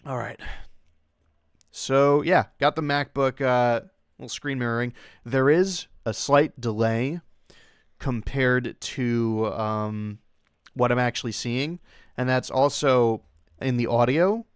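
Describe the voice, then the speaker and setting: A man's voice: medium pitch, slow pace, clean tone, dry recording, studio quality. A young man chatting casually as he hosts a livestream, talking in a rambling, hesitant way and breaking off his own sentences. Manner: rambling, hesitant, self-interrupting. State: occasional coughing from long covid. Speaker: livestream host.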